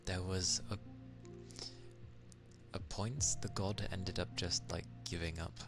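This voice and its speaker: A man from England with an English accent, chatting casually on an ASMR stream, soft-spoken and at times drifting into whispers. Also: low-pitched, fairly even intonation, takes it slowly, over music.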